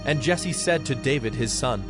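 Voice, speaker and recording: A man narrating scripture from a script in a measured, formal manner. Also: medium pitch, lively intonation, over music.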